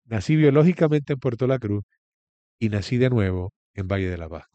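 Man with a low, warm voice. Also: animated, brisk, dry recording, highs cut off. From Venezuela. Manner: earnest, preacherly storytelling. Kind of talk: interview testimony.

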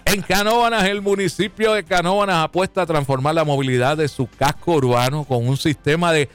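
Jokey radio host. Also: male voice, fairly quick, clear, resonant tone, soft background music. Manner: jokey, folksy, calls listeners "papá", swings pitch for emphasis. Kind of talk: live radio talk.

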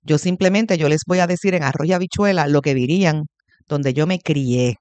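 A man's voice: a little high, moderate pace, darkish tone, no room sound. A Puerto Rican man behind a studio microphone, talking bluntly and with emphasis as a radio host. The sound is close and dry, with no room around it. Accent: Puerto Rican Spanish. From Puerto Rico. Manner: blunt, emphatic, addresses listeners directly. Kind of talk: opinionated radio commentary.

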